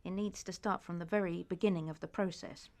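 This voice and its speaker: A woman interpreting a conference speech into English, measured and often pausing with hesitations. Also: fairly low pitch, fairly even intonation, a little mellow.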